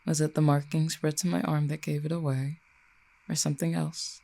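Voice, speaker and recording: A performer as a gravelly, poised fallen cupid. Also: medium pitch, little pitch movement, warm tone, lightly acted. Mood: wry, curious. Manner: formal, eloquent, measured archaic phrasing. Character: ancient fallen cupid, poised, wry, quietly menacing lover. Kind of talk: roleplay audio drama, addressing the listener.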